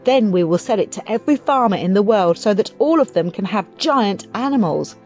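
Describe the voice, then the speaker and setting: A woman voicing a wildly excited, impatient father with grand schemes in a children's audiobook, emphatic and urgent. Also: mid-range voice, brisk, close to an everyday voice. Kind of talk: audiobook character voice for children's story. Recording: muffled audio with music behind.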